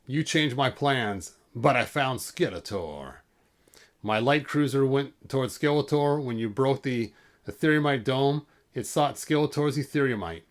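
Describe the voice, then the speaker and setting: A man reads as a menacing villain warlord in a children's fantasy storybook. He is scheming and threatening, with deliberate phrasing and a mid-range voice. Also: medium pitch, lightly acted.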